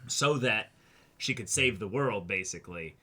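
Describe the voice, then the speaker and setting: A man's voice: fairly low pitch, expressive, moderate pace, sharp tone. A man co-hosting a reaction show, talking through his analysis casually, wordy and emphatic as he thinks aloud. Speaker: co-host of an anime reaction show.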